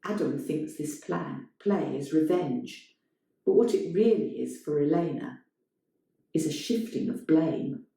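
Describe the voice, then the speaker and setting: A strongly echoing room holds the voice of a woman narrating a short story. She reads in a measured, dramatic style, slowly and with a mellow, soft-edged tone. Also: low voice, expressive, vocal fry. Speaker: story reader. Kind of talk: scripted literary narration, performed reading. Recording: very echoey room.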